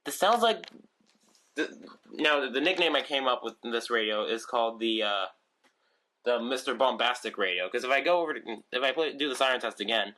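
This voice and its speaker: A man's voice: medium pitch, brisk, noisy background. A man casually showing his weather radio collection on video, rambling with lots of ums and restarts. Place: room at home with shelves of radios.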